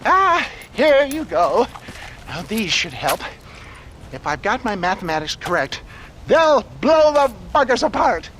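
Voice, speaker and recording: A man's voice: high-pitched, big pitch swings, measured pace, a bit bright, a little hiss or hum, full character voice. An actor playing a shifty, theatrical old Old West snake oil salesman in a video game, with florid, wheedling patter. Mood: scheming, chuckling. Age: older. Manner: flustered, florid, wheedling, chuckling hucksterish patter. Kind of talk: video game character acting.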